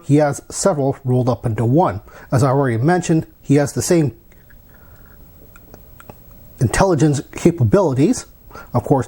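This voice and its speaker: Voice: medium pitch, warm tone, slightly rough. A reviewer of unclear sex narrating a review in a musing, explanatory way with casual asides. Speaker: toy reviewer.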